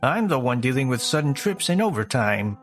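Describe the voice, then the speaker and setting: Lively, swinging male delivery from an actor as a self-centred, dismissive young husband, comic-dub drama. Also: medium pitch, brisk, soft background music, lightly acted. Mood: irritated, dismissive. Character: selfish, dismissive young husband who shirks chores. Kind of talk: scripted comic dub dialogue.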